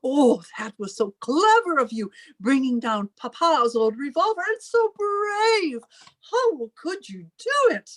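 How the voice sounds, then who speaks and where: A prim, protective spinster played by a woman with a very high voice and big swoops of pitch. She speaks slowly and formally, with the melodrama of old-time radio. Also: very animated. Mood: gushing relief.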